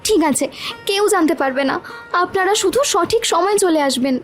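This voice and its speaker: A woman's voice: very high-pitched, animated, moderate pace, soft background music. A woman playing a brave, anguished young village wife in a melodramatic cartoon, speaking emphatically. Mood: earnest, determined.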